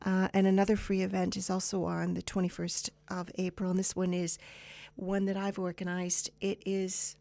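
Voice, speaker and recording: A woman with a Canadian accent hosting a radio show, giving chatty, unscripted announcements in an informal, halting way. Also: medium pitch, monotone, vocal fry, mellow, no echo.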